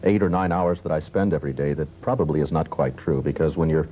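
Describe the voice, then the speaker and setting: A man's voice: very deep voice, quick pace, dark tone. An American man, a radio newsman, talking conversationally as a panel guest. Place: TV studio. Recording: noisy 1970s TV studio tape.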